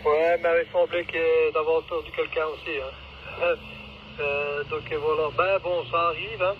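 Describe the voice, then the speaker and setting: A fairly high-voiced man talks over a two-way radio in a casual, rambling way. The transmission is dull and clearly noisy, and he often hesitates as he goes. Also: flat delivery, moderate pace. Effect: walkie-talkie radio. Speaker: amateur radio operator.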